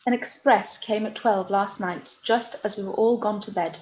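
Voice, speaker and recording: A woman playing a gentle, earnest young gentlewoman voicing her own letter in a period radio play, tender and measured. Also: mid-range voice, close to an everyday voice. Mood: anxious. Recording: roomy echo, faint background noise.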